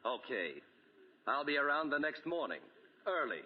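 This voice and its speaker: An actor playing a bullying small-time thug, slangy and insistent, in 1940s radio drama style. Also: male voice, fairly high pitch, big pitch swings, moderate pace, heavy background noise. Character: menacing small-time thug muscling into a swindle. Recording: dull 1940 radio broadcast recording.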